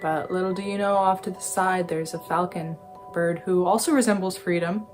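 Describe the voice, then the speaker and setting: A teenage girl with a fairly low voice for her age explains the meaning of her own artwork. She talks thoughtfully, often pausing to hunt for the right word. Music plays clearly in the background. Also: steady tone. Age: teens. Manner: thoughtful, searching for words. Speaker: student artist.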